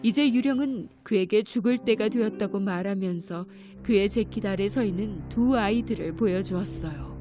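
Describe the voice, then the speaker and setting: A woman narrating a children's fairy tale in a gentle, clear storytelling manner. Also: medium pitch, moderate pace, few pauses. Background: music.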